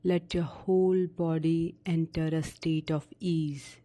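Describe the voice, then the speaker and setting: A woman narrating a guided sleep meditation in a soothing, unhurried manner with long pauses between short instructions. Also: sits low, steady tone, warm tone.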